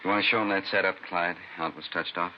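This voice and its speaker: A man's voice: deep voice, fairly even intonation, fast talker, lightly acted. A man playing a seasoned, matter-of-fact fire chief in a 1950s radio crime drama. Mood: weary, matter-of-fact. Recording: very noisy, dull vintage radio recording.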